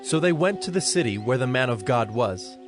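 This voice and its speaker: A man's voice: mid-range voice, expressive, brisk, over music. A man narrating a dramatized scripture reading from a script.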